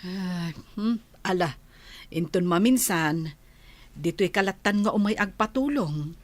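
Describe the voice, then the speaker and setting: Low-voiced, sympathetic Ilocano village mother, woman acting. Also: animated, measured pace, no echo. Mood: helpful. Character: sympathetic, advice-giving older village mother. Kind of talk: Ilocano radio comedy drama acting.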